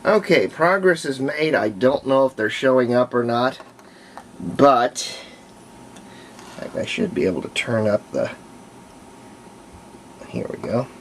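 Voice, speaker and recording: A man narrating casual hands-on repair work, thinking aloud in short, halting phrases. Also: medium pitch, animated, a little hiss or hum. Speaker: hobbyist demonstrating antique radio repair. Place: room at home, indoors.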